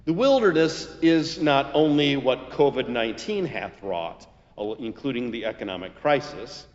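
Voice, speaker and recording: A man preaches a prepared sermon as a pastor, in deliberate and formal phrases. His medium-pitched voice carries a long room reverb and sounds somewhat dull in the recording. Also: expressive. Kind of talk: sermon read from prepared text.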